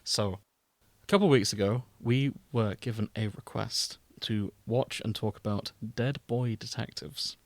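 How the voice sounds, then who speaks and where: A man's voice: fairly low pitch, a little slow, no room sound. A man co-hosting a casual chat show, talking in a teasing, conversational way. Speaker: podcast co-host.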